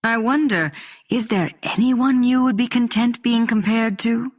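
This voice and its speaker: Poised dark elf priestess. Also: female voice, a little high. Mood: cool, probing. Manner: measured, questioning. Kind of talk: video game voice acting.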